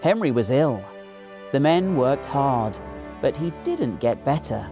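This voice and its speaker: A man in his forties with a British accent, narrating a children's story in an animated reading style. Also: mid-range voice, slow pace, over music. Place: small indoor recording studio.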